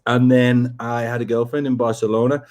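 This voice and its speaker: A man's voice: mid-range voice, flat delivery, fairly quick, darkish tone. A man in his forties from near Liverpool, speaking with a Liverpool accent, telling his life story in a rambling, candid way on a video call. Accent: Liverpool English. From England, near Liverpool. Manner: rambling, candid, many restarts. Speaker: guest, breath coach. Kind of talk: casual interview storytelling.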